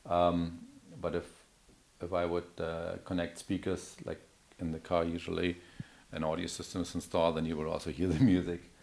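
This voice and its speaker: A man's voice: deep voice, monotone, moderate pace, creaky, a little mellow, slight background noise. A man presenting an unscripted product demonstration in a hesitant, explanatory way. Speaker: product demonstrator, account manager. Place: indoor office or showroom.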